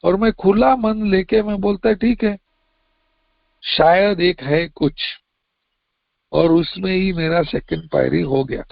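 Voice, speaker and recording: A man's voice: sits high, fairly even intonation, slow pace, vocal fry, pauses often, dry recording, muffled recording. A man with a Mumbai Hindi accent sharing his recovery story at a meeting, talking plainly and slipping English phrases in. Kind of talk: personal testimony share.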